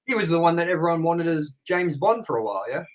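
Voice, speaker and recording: A man's voice: a little high, sing-song, fast. A man co-hosting a casual movie review chat, reading out figures and firing questions at his partner with fillers and restarts. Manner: reads figures, then prompts partner with questions. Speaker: co-host.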